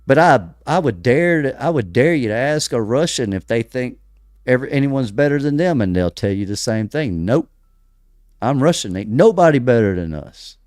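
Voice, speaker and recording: An American man hosting a religious podcast, delivering emphatic commentary full of rhetorical questions, pauses and restarts. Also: mid-range voice, expressive, a little mellow, no room sound, clean studio sound. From United States. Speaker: podcast host. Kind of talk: preaching-style religious commentary.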